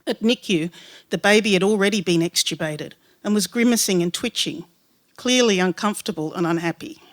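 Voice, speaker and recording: A woman's voice: medium pitch, fairly quick. A woman reading a literary first-person story, giving voice to its dialogue. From Western Sydney, Australia. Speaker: writer reading own story aloud.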